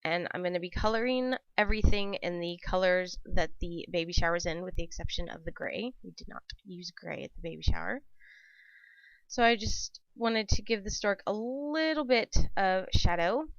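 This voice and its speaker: A woman's voice: fairly low pitch, moderate pace, gravelly, dry recording. A woman hosting a casual craft tutorial voice-over, her throat scratchy and dry from a cold, chatty and rambling with many ums and self-corrections. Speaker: papercraft channel host. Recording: dull dry home voice-over.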